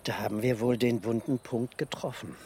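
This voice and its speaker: An actor playing a sardonic, probing police detective in a radio crime drama, with a dry, needling manner. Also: male voice, medium pitch, moderate pace, heavy background noise, close to an everyday voice. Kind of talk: naturalistic German radio drama acting.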